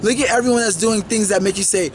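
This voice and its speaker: Young man, high voice, earnest motivational vlog, ums and restarts, faint traffic rumble behind. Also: fairly even intonation, fast talker. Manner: earnest, many fillers and restarts. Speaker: vlogger. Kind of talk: motivational monologue to camera.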